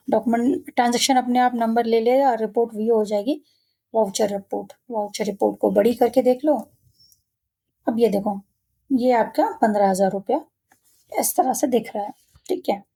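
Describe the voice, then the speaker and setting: A woman giving an informal, step-by-step software tutorial in an instructional manner, often checking in with the learner. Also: a little high. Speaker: software trainer. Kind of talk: step-by-step screen tutorial, informal spoken explanation.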